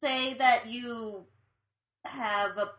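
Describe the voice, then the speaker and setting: Young woman, fairly high bright voice, wry and deadpan with giggles. Echoey room. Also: very slow. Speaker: co-host of a movie chat. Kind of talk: casual chat.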